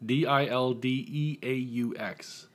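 A man's voice: medium pitch, fairly even intonation, slow. A man speaking as a podcast guest in casual interview chat, explaining things reflectively. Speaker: podcast guest, writer and marketer.

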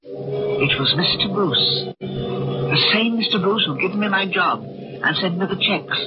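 A woman's voice: mid-range voice, expressive, measured pace, close to an everyday voice. An actress playing a frightened middle-aged aunt who recounts her ordeal in a vintage radio drama. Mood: tense, recollecting. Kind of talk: 1940s-50s radio drama acting and narration. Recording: dull old radio recording, music behind.

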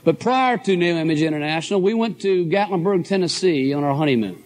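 A middle-aged man with a hoarse voice, giving an enthusiastic, folksy motivational talk to a live audience. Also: a little high, lively intonation, brisk, darkish tone. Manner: folksy, enthusiastic, addresses crowd as guys and folks. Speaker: motivational speaker at a business convention.

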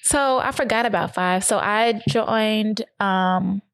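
A woman with a high voice co-hosting a podcast in casual, informal conversation. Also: slow pace, a bit bright, dry recording. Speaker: podcast co-host. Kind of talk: casual banter.

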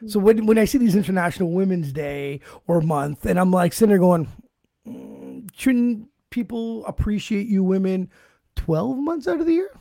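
A man's voice: high-pitched, moderate pace, gravelly, dry recording, clean studio sound. A man co-hosting a casual podcast, joking around and rambling through his thoughts. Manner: joking, rambling, animated asides. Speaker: podcast co-host.

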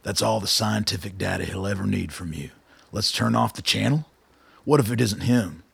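An actor in a radio drama playing a gruff, suspicious older colonel with a gravelly, husky voice and a blunt manner. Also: male voice, on the low side, normal speed, no room sound, full character voice. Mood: skeptical. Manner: blunt, skeptical, swearing. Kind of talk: naturalistic radio drama acting.

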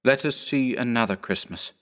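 A man reading an audiobook, voicing a gentle, thoughtful spirit who speaks softly and slowly. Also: mid-range voice, voice pushed up, close to an everyday voice. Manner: soft, measured, quiet. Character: gentle, thoughtful ghost of the past.

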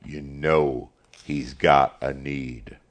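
A man's voice: rumbling low, steady tone, extremely slow, full character voice. A man voicing a proud, determined farmer father in an audiobook, curt and firm. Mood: grim.

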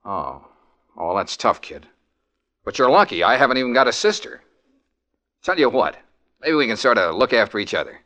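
An actor playing a brash, good-natured young private detective in a 1940s radio play, wisecracking and easily flustered. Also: male voice, medium pitch, sing-song, moderate pace, long pauses, pushed and bright. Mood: warm, teasing. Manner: wisecracking, flustered, hesitant stammers. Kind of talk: 1940s radio comedy-mystery acting.

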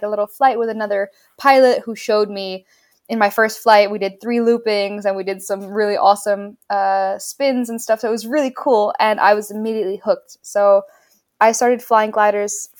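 A young woman from Tanzania, a pilot guest answering interview questions enthusiastically with many fillers and restarts. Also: medium pitch. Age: young adult.

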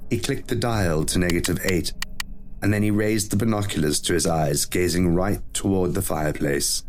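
A man's voice: low-pitched, normal speed, background noise. A man reading a scripted short story as a dramatic audio narrator.

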